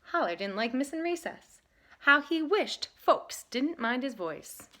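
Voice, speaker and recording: A woman teaching and reading a picture book aloud with emphatic, expressive delivery. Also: high voice, a little slow. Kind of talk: lesson talk and animated storybook reading.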